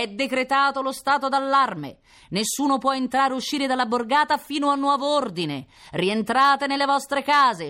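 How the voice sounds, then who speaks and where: A stern, official loudspeaker voice, put on by a woman reader in a children's story. It is raised high and booms out drawn, monotone commands.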